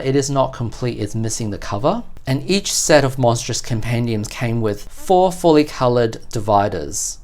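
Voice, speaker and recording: A host giving an explanatory unboxing commentary on collectible game books, speaking in a measured, methodical way. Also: medium pitch, darkish tone.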